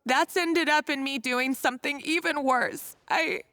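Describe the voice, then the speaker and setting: High-voiced, timid young chef. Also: female voice, measured pace, bright, forceful tone. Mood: frightened, remorseful. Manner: hesitant, apologetic. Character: timid, guilt-ridden young woman chef. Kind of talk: video game dialogue.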